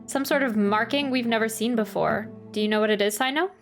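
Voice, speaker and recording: A woman's voice: a little high, fairly quick. A woman giving casual live game commentary, musing and thinking aloud. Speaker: game reaction streamer. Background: game music.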